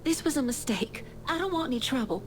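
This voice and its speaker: An actress playing a frightened, reluctant clerk turned informant in a video game cutscene, hesitant and anxious. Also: female voice, high voice, lively intonation, fairly quick, over loud music. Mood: nervous, confiding. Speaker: informant character. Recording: clear background noise.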